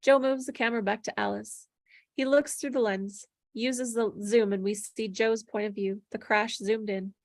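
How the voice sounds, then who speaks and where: A woman's voice: on the high side, fairly even intonation, normal speed, no room sound, studio quality. A woman reading stage directions aloud at a table read, plainly descriptive, with occasional stumbles over the words.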